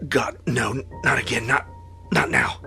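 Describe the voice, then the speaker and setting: An actor playing a tense, suspicious superhero in an audio drama, with a terse, urgent delivery. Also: male voice, medium pitch, fairly even intonation, measured pace, vocal fry, pushed and bright, heavily acted. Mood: tense, alarmed. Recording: echoey room sound over faint music.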